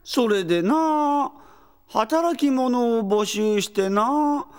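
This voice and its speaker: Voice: mid-range voice, expressive, very slow, clear, resonant tone. A performer playing a weary, pompous old castle ruler in a Japanese comedic audio drama, with old-fashioned, hesitant speech. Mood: resigned, explaining. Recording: long reverberant castle-hall effect.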